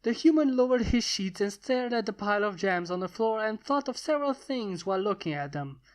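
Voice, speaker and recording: Expressive fan fiction storyteller. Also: mid-range voice, brisk. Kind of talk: scripted story narration with character voices.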